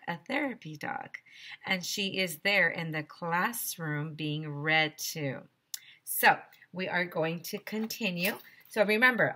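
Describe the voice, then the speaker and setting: A woman teacher giving an expressive children's read-aloud, explaining things clearly with asides for young listeners. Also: lowish voice. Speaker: teacher reading aloud to pupils.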